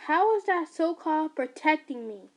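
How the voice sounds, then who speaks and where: A woman voicing an angry teenage boy who shouts indignantly at his overbearing mother in a read-aloud story. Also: very high voice, normal speed, voice pushed up. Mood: exasperated.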